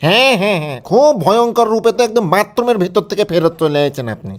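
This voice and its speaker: A man's voice: high-pitched, animated, fast talker. A man with a Bangladeshi accent playing a sarcastic, exasperated interviewer who scolds and mocks in a colloquial satirical phone-call sketch. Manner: scolding, mocking, incredulous.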